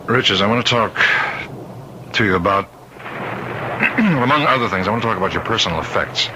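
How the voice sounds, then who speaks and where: An actor playing a prison warden in a radio drama, stern, skeptical and halting, with uneasy authority. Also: male voice, fairly low pitch, expressive, background noise, close to an everyday voice. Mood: stern, businesslike.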